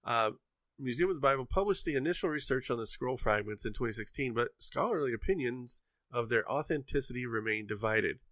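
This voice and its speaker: A man's voice: medium pitch, lively intonation, a little fast, fairly bright tone, no echo. A man co-hosting a podcast, mixing casual, irreverent banter with news items read aloud in a sarcastic way. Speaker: podcast co-host.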